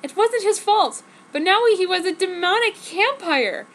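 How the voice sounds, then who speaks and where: A woman's voice: very high voice, animated, measured pace, fairly bright tone. A woman giving an exaggerated, mock-serious dramatic reading of a story as an amateur fanfiction reader. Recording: noticeable background noise.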